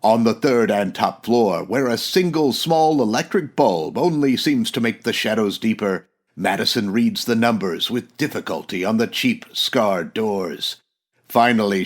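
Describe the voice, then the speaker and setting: An actor playing an earnest male narrator, giving formal, dramatic descriptions in the style of a comedic 1940s radio serial. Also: fairly low pitch.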